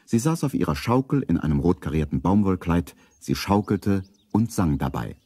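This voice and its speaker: A man narrating a children's radio play as a calm storyteller. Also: deep voice, moderate pace, husky, no echo, full character voice. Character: calm adult male storyteller.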